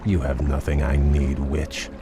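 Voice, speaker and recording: An actor playing a proud elven warrior prince in a fantasy game, formal and noble with restrained resentment. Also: male voice, rumbling low, completely flat delivery, measured pace. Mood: guarded. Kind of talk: scripted fantasy game cutscene acting. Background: music.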